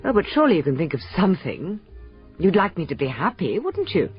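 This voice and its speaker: A woman's voice: lowish voice, big pitch swings, fast talker. A woman playing a glamorous, self-absorbed society actress who gushes and coaxes theatrically in a radio drama. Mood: wheedling. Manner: theatrical, gushing, coaxing. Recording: muffled radio drama with music.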